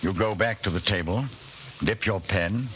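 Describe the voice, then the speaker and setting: Low-pitched, forceful radio drama narrator. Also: male voice, moderate pace. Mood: grave, ominous. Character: grave male narrator addressing hero as "you". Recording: noisy 1940s radio broadcast recording.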